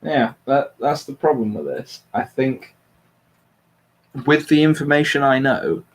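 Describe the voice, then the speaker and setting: A man's voice: mid-range voice, very animated, a little slow. A man hosting a casual livestream political discussion in an analytical way, qualifying his points carefully. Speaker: livestream host.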